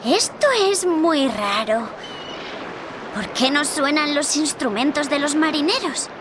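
A woman's voice: sits high, slow. A woman playing a curious, well-meaning young girl with a wondering, earnest manner in a video game. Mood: puzzled, amazed. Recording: clear ambient noise behind.

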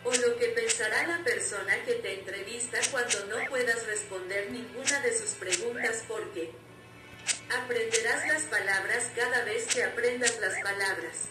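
A woman's voice: fairly high pitch, moderate pace, far from the mic. A woman giving an opinionated, wry voice-over about learning languages. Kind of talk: scripted voice-over commentary. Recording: roomy and off-mic with loud music.

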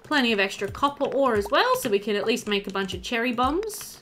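Lively, chatty woman gamer thinking aloud. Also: a little high, fairly quick, a little hiss or hum. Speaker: gaming video host. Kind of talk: casual chatty let's-play commentary.

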